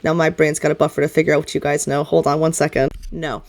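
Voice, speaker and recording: A woman's voice: deep voice, little pitch movement, quick pace. A woman runs a tabletop game as game master, improvising as she goes. Her delivery is informal and rambling, and she often backtracks to correct herself mid-thought.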